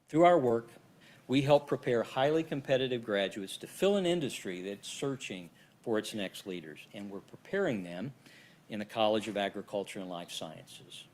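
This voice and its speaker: A man giving a scripted presentation about his college's projects at a university event, in a steady, practiced delivery. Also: lowish voice. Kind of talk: prepared presentation.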